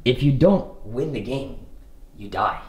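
A young man talks in excitable, casual vlogger style, his pitch swinging widely. He is picked up by a camera in a roomy living room, with music behind him. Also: measured pace, muffled recording. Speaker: vlog host. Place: large living room, indoors.

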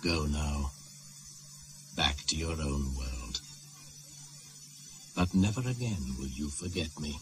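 An actor playing an ancient male shaman who is also Father Christmas, speaking slowly and solemnly in a 1980s radio play. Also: background noise. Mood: commanding. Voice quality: deep, slow, grave and intoning. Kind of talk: 1980s radio drama acting. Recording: music bed behind the voice.

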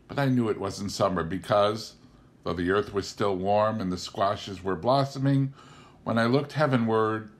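A middle-aged man reads a novel aloud in a measured, deliberate storytelling way. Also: lowish voice. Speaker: audiobook reader. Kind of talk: scripted narration of a novel.

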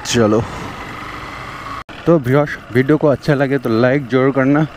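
A man's voice: medium pitch, normal speed, darkish tone, slight background noise. Bengali-accented young man vlogging from a motorbike. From West Bengal, India. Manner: chatty, repeats "theek hai" and "dekho". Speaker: motovlogger. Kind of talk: casual vlog narration while riding. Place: outdoors on a riverbank, on a motorbike. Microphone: helmet or chest action camera.

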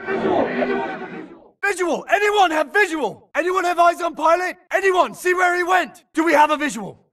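An actor playing an urgent, alert infantry grunt in a video game, shouting clipped military callouts in battle. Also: male voice, very high-pitched, lively intonation, normal speed, far from the mic. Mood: urgent, frustrated. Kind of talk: shouted combat barks.

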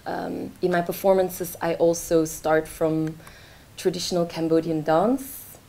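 Young woman artist, slow halting talk with fillers, lowish voice, presenting in a lecture hall. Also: flat delivery, creaky, mellow. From Belgium. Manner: hesitant, many fillers, gestures while talking. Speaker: artist presenting her work. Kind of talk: informal talk at a launch event. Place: lecture theatre, indoors.

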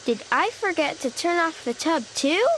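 A woman's voice: very high-pitched, lively intonation, normal speed, a bit bright, slight background noise. A woman narrating a children's storybook expressively, voicing an earnest, forgetful little boy critter with a childlike manner. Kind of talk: expressive children's read-aloud in a young child character's voice.

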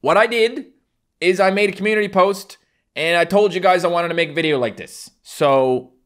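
A man's voice: high-pitched, normal speed, a bit bright, a little hiss or hum. Young man with a Newfoundland accent. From Newfoundland, Canada. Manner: joking, self-mocking, playful. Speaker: YouTuber. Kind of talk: casual vlog to camera. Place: small home room indoors.

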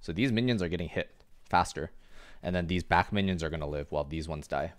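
A man casually explaining his gameplay to viewers as he plays, relaxed and instructive. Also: deep voice, fast talker. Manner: instructive, relaxed, talks over his own play.

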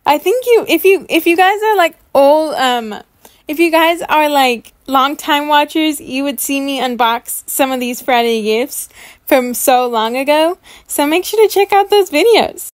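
A high-voiced woman chats her way through a game unboxing for her viewers. She is enthusiastic and gushing, and the recording is close and dry. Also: lively intonation, unhurried, no echo. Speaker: gaming YouTuber. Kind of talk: chatty gameplay unboxing commentary.

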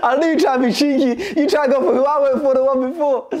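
A man's voice: very high-pitched, fast talker, very noisy. A man in his twenties hosting a guessing game, trading teasing, competitive trash talk with his opponent. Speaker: channel host. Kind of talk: casual game banter. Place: indoor lounge room.

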